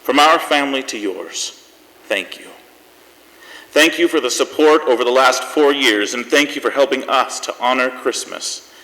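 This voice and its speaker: A man's voice: mid-range voice, moderate pace, pushed and bright. A man playing a genial, sentimental host of a Christmas variety show, speaking and reading from the stage. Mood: warm, sincere. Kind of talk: stage hosting and scripted reading. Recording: echoey hall with faint noise.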